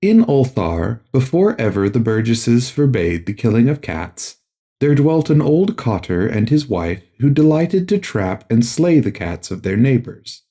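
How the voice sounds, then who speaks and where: A man narrating a gothic tale from a script as an audiobook, in a measured, solemn storytelling manner. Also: medium pitch, sing-song, warm tone.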